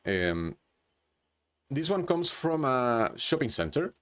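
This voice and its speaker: A man's voice: medium pitch, very animated, slow, fairly bright tone. Hesitant man with a Chilean accent. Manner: hesitant, with many restarts and "like". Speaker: presenter. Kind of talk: unscripted business presentation.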